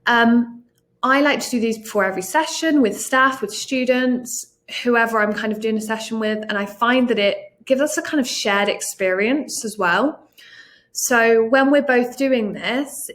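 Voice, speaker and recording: A woman's voice: fairly high pitch, unhurried, fairly bright tone. Chatty British woman teacher presenting. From United Kingdom. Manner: chatty, encouraging, many "um" and "kind of" fillers. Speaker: teacher trainer and academic coordinator. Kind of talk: recorded conference presentation.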